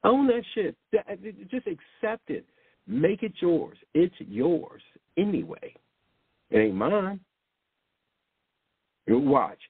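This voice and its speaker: A man's voice: high-pitched, very animated, slow pace, pauses often, husky, no echo. A man preaching informally in a rambling, blunt way, often repeating words and restarting. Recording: tinny phone-like sound, dry.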